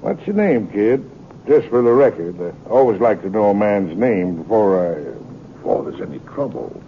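Cocky, menacing western outlaw. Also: male voice, lively intonation, normal speed, slight background noise. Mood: taunting, cool. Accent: American. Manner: mocking, taunting. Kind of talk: 1950s radio western acting. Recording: tinny, rumbly 1950s radio recording.

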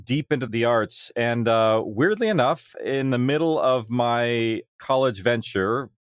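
A man's voice: mid-range voice, lively intonation, measured pace, clean tone, no echo, studio quality. A man talking casually as a guest creator in a remote podcast interview. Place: remote call from home.

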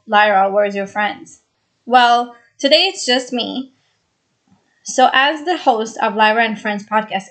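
A young woman with a slightly high voice, originally from Brazil and raised in Israel, hosts a solo podcast. She talks in an earnest, chatty way and often repeats a phrase to press a point. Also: fairly bright tone. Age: 20s. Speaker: podcast host.

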